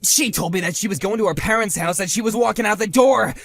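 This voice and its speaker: A man voicing a hotheaded bully husband who shouts, whines and stammers when cornered, in a one-man voiced drama. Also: on the high side, lively intonation, fast talker, lightly acted. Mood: furious. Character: hotheaded male bully husband, panicky and blustering.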